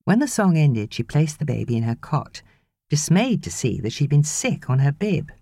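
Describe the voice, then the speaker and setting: A woman with a British accent reading fiction as an expressive audiobook narrator. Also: low-pitched, normal speed, no room sound.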